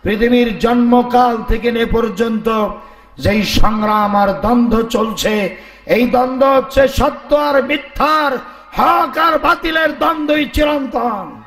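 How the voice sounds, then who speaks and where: A man with a hoarse, strained voice preaches an Islamic sermon in a declamatory, rhetorical way to a gathering. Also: very high voice, fairly bright tone. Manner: declamatory, rhetorical, calling on listeners to respond. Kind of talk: fiery sermon to a live congregation. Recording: echoey PA sound, faint crowd.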